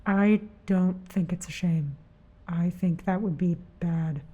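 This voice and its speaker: Mellow, reserved young researcher woman. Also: lowish voice, slow pace, breathy edge, muffled recording. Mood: cautious, matter-of-fact. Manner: terse, plain-spoken, understated. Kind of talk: audio drama dialogue.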